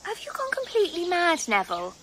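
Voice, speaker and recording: Petulant young wife, high voice. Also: female voice, wildly swooping pitch, fast, background noise, heavily acted. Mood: sulky, teasing. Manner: pouting, sulky. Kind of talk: radio drama acting.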